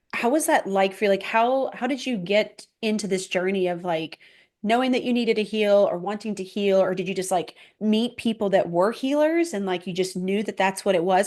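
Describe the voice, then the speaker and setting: A woman's voice: mid-range voice, darkish tone. A woman hosting a podcast interview, upbeat and enthusiastic. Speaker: podcast host.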